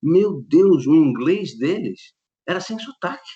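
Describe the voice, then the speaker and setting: A middle-aged Brazilian man talking in an interview, animated and quick to laugh, often restarting his sentences. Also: a little high, dull audio. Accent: Brazilian Portuguese. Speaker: interview guest, lighting designer. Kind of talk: relaxed conversational interview.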